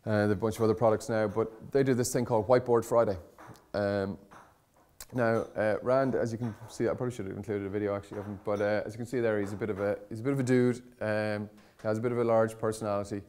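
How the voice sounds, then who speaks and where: A man's voice: on the low side, a little mellow. A man giving an informal conference presentation in a conversational, rambling way, often correcting himself and speaking in blunt, colloquial terms. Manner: conversational, hesitant, rambling with frequent self-corrections. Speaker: conference speaker on startup content marketing.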